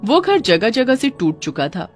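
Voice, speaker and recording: A woman's voice: fairly high pitch, very animated, unhurried, faint music behind. A woman reading scripted narration for a children's moral story.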